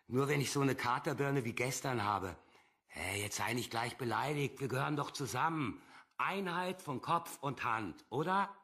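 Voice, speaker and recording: A man's voice: mid-range voice, lively intonation, a little slow, sharp tone. An actor playing a crude, blunt man of action in a radio play, teasing and colloquial. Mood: brash, teasing.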